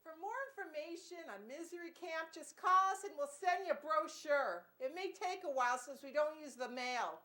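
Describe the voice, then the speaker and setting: A bossy summer camp boss acted by a woman in a comedy spoof advert, gleefully cruel to the youngsters in the boss's charge. The voice is high, bright and forceful. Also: normal speed, hardly any pauses. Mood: smug, gleeful.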